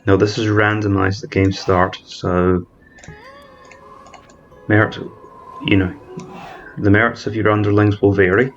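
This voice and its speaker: A man with a rather low voice walks through his strategy game campaign, thinking aloud and catching his own slips mid-word. His voice-over sounds dull and lo-fi, with a faint hiss underneath. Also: flat delivery, a little slow, pauses often. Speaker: strategy game let's-player. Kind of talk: explanatory gameplay commentary.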